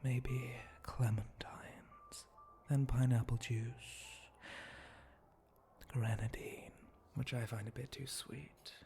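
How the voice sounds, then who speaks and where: A man playing a suave, teasing spider monster bartender in an intimate ASMR roleplay, breathy and often whispering close to the microphone. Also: medium pitch, level tone. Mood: playful, musing. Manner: slow, seductive, teasing drawl with soft chuckles.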